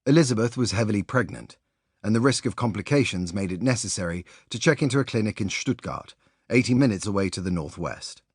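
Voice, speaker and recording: A man reading a sports biography as an audiobook narrator, in an expressive, measured storytelling manner. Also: on the low side, breathy edge, no echo, studio quality.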